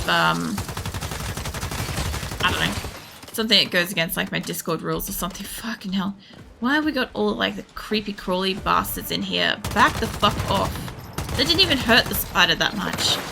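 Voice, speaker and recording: A woman with a creaky voice, giving casual, rambling, sweary gameplay commentary while thinking aloud as she streams. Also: medium pitch. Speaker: game streamer.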